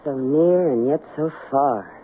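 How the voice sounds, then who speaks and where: A woman playing an anxious, sympathetic housewife in an old-time radio drama, halting and emotive, repeating her words. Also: a bass voice, phone-quality sound. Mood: pitying, distressed. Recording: thin, noisy old radio broadcast.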